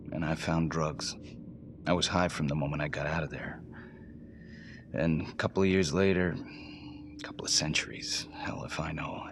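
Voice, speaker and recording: Deep-voiced, haunted condemned man. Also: background noise. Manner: intense, swings from hushed to shouting. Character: desperate condemned man, pleading, haunted, volatile. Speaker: death-row prisoner. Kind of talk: cinematic video game acting. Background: music.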